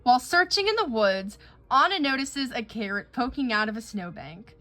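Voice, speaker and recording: Bright, lively woman reading a storybook. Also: a little high, normal speed, faint music behind. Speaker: host reading a picture book aloud. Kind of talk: expressive children's story read-aloud.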